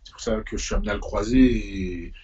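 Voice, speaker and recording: A man's voice: on the low side, fairly even intonation, quick pace, darkish tone, slightly rough, dull audio. A young man from Paris, speaking as an interviewed musician in an informal, slangy, hesitant way. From Paris, France. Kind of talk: casual conversational interview.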